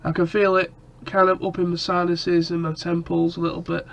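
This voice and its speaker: A man's voice: high voice, monotone, a little fast, creaky, fairly bright tone. A man chatting casually to camera in a rambling way, his nose stuffed up and coughing from a cold. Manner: rambling, lots of ums and restarts. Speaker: vlogger.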